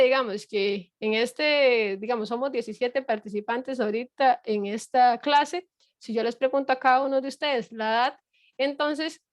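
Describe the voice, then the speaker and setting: Middle-aged woman teacher, patient and repetitive, slightly high-pitched, dry close sound. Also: no room sound. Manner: patient explaining with frequent fillers and rhetorical checks. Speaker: university lecturer. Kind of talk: online lecture.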